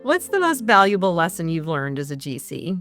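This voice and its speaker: A female interviewer with a slightly low voice hosts a conversation over music. She is affirming and encouraging, posing questions in a conversational style. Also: very animated, brisk, a bit bright. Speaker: interviewer, host.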